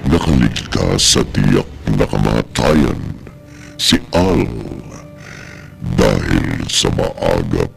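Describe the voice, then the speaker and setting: A man playing a sinister horror storyteller on a radio drama, gravelly and deep, with a drawn-out, theatrical, ominous delivery. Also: wildly swooping pitch, heavily acted. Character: sinister slow-talking horror storyteller with a menacing laugh. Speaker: narrator and host of a horror radio series. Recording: loud music under the voice.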